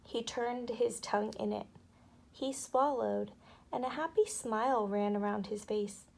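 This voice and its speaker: A woman narrating a children's story aloud with expressive, dramatic delivery. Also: on the high side, a little slow, creaky.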